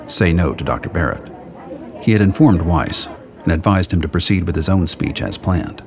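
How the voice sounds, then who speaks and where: A man's voice: deep voice, a little hiss or hum. A man narrating a scripted radio play in a storytelling style, as the dramatic narrator.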